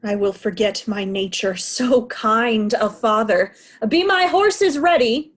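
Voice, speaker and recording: Aging monarch, proud and hurt, higher-voiced, classical stage verse played by a woman. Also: takes it slowly. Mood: anguished. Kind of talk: Shakespearean reader's theatre acting.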